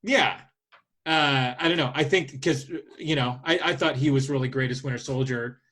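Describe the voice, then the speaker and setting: A man co-hosting a casual podcast, speaking in a halting, hesitant way. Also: mid-range voice, a bit bright. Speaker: podcast co-host.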